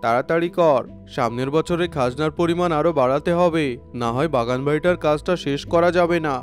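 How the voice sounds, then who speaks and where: A man's voice: a little high, fairly quick, soft background music, close to an everyday voice. A man voicing an imperious, greedy village landlord in a cartoon, with a bossy manner. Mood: commanding. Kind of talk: cartoon dubbing.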